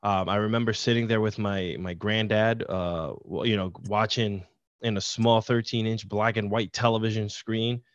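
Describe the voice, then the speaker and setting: A middle-aged man from Puerto Rico, a podcast guest telling stories in a relaxed, rambling way over a video call. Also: on the low side, normal speed, no echo. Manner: easygoing, rambling storyteller. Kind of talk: casual interview chat. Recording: dull, dry video-call audio.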